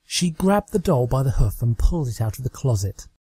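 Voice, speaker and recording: A man's voice: mid-range voice, big pitch swings, brisk, dark tone, husky, dry recording. A man reading scripted fan fiction aloud as an amateur narrator. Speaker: amateur story reader.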